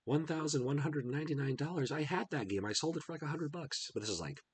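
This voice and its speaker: A man's voice: fairly high pitch, expressive, fast talker. A middle-aged man with a congested cold voice, chatting casually as he browses a retro game store online. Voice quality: husky. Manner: chatty, musing aloud while browsing. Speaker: retro game channel host. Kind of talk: casual screen-share commentary.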